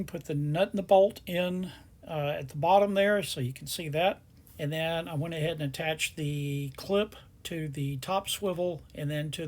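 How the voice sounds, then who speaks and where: A man narrating a do-it-yourself tutorial, explaining each step plainly. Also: a little high. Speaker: DIY tutorial host.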